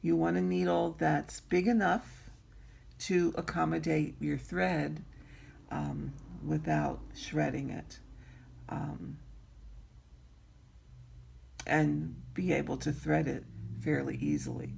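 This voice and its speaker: Woman, raspy, gravelly fry, slow, home craft tutorial. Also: low voice, steady tone, a little mellow, a little hiss or hum. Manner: slow, unhurried, frequent ums and throat clearing. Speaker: embroidery teacher.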